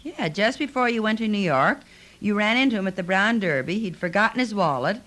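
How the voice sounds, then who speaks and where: A woman playing a quick-witted, wisecracking sidekick in 1950s radio comedy, with a dry, matter-of-fact delivery. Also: medium pitch, expressive, fairly bright tone, natural voice. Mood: wry. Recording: old radio recording, slight noise.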